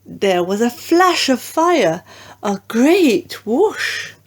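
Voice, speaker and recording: A woman narrating a children's storybook in an expressive, deliberate way. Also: a little high. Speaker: audiobook narrator.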